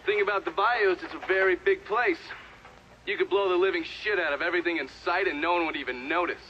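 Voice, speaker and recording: A man's voice: high voice, quick pace, close to an everyday voice. An actor playing a cynical American man testifying in a video game, frank, wry and blunt. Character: cynical, frank witness testifying about mob corruption. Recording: dull lo-fi audio, faint noise.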